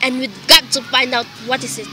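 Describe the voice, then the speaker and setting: A woman's voice: sits high, fairly even intonation, brisk, close to an everyday voice. A woman playing a nervous, demanding invalid wife in a melodramatic radio play, overwrought and imperious. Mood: exasperated. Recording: faintly noisy amateur recording.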